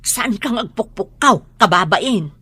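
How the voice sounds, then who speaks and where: A woman's voice: mid-range voice, lively intonation, unhurried, pushed and bright, slightly rough, dry recording, heavily acted. A woman with an Ilocano Filipino accent playing a nagging, unimpressed village wife in a comedic radio drama. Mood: exasperated. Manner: complaining, critical.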